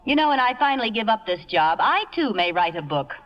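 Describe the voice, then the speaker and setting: Woman doing a wry old-radio secretary, sarcastic and unimpressed, lots of pitch movement, dull vintage sound. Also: sing-song, a little fast, a little hiss or hum, lightly acted. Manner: dry, sarcastic. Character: wry, world-weary female secretary, dry and unimpressed. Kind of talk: vintage radio comedy acting. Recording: muffled vintage 1950 radio broadcast.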